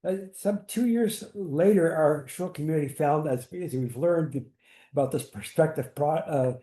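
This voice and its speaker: An older man telling personal stories on a community panel, halting and restarting, sprinkling in Yiddish words. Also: on the high side, mellow. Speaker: synagogue founder on a panel. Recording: echoey room.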